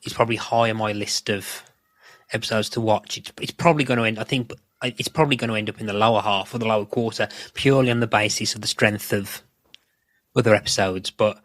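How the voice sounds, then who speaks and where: Low-pitched man chatting on a podcast. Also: steady tone, fast talker, a bit bright, no echo. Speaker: podcast co-host. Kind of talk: casual podcast discussion.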